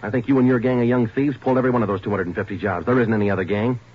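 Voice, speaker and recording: An actor playing a stern, matter-of-fact police detective in an American 1940s radio drama, speaking in a terse, deadpan way. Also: male voice, on the low side, racing, slight background noise, natural voice. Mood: stern, pressing. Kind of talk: 1940s radio drama, clipped procedural narration. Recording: muffled 1940s radio recording, music behind.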